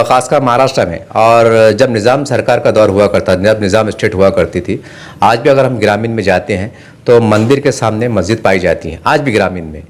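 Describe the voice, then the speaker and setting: A man asking long, insistent, discursive questions as a news interviewer. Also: mid-range voice.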